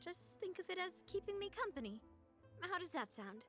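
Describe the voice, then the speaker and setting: A woman's voice: very high-pitched, very animated, moderate pace, a bit bright, faint music behind, exaggerated voice. An actress voicing a confident, friendly young woman who leads a gang in a video game, casual and playfully teasing. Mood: cheerful, inviting.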